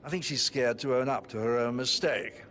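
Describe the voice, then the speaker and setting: A man's voice: mid-range voice, brisk, a bit bright, natural voice. A man playing a sneering aristocrat. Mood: scornful. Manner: mocking, drawling, sarcastic. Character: sneering middle-aged British aristocrat brother. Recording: game audio with music behind.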